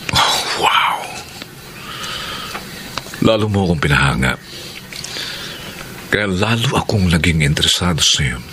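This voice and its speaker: Deep-voiced, persistent professor suitor. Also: male voice, expressive, takes it slowly, long pauses. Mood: flirtatious, persistent. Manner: smooth, insistent suitor. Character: smitten, persistent university professor pursuing a woman. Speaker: radio drama actor. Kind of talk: radio drama acting. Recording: clearly noisy background ambience.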